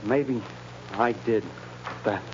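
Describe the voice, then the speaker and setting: An actor playing a guilty, easily rattled tough gangster in a 1930s radio drama, with slangy, stammering speech. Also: male voice, fairly low pitch, extremely slow. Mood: rattled, defensive. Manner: tough slangy talk, stammering under pressure. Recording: noisy muffled 1930s radio recording.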